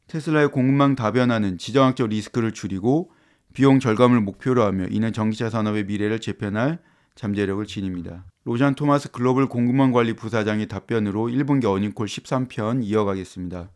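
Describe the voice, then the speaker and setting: A man reading scripted explainer narration in a formal, measured manner. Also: medium pitch, darkish tone.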